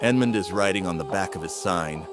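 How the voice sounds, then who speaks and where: An actor playing a doomed, steadfast field reporter giving a grave, poetic eyewitness account in an audio drama. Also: male voice, sits low, brisk, natural voice. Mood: fading, desperate. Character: doomed male field reporter witnessing the world dissolving, awestruck, steadfast. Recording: music under the voice. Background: music.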